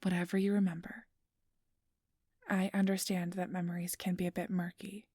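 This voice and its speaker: A woman playing a kindly, apologetic registration clerk in an ASMR roleplay, speaking softly and often in a whisper with a gentle, reassuring manner. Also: fairly low pitch, flat delivery, moderate pace, no room sound, studio quality. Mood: calm, patient. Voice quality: soft, breathy, often whispered. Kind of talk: ASMR roleplay, soft whispery speech to the listener.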